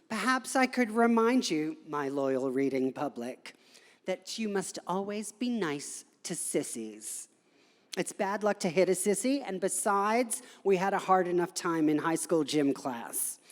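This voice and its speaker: A woman's voice: medium pitch, lively intonation, a little slow. A woman giving a theatrical live reading of a humorous article as a guest performer at an event. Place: indoor gallery event space, before an audience. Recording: echoey hall recording.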